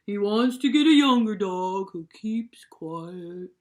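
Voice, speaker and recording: A woman's voice: medium pitch, animated, slow pace. A woman voicing a sad old male farm dog in a children's storybook reading.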